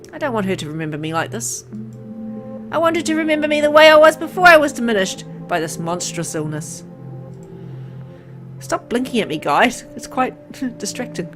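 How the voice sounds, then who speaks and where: A woman reading a horror visual novel aloud with expressive narration and casual asides to viewers. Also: medium pitch. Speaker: let's play reader.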